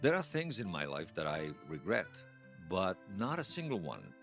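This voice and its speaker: A man acting the part of a wistful, wry old hero in his seventies, reminiscing haltingly in a radio drama interview. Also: low voice, sing-song, vocal fry, a bit bright, faint music behind, heavily acted. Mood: tender, subdued. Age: 70s. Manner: halting, reflective, self-deprecating. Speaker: interview guest.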